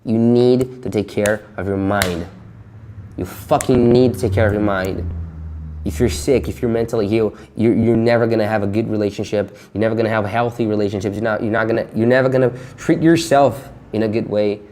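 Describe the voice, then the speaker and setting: A man's voice: lowish voice, fairly even intonation, a little fast, dark tone, a little hiss or hum. Young man venting with a lot of swearing. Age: 20s. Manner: frank and heavy swearing, nervous laughs. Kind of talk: candid personal monologue.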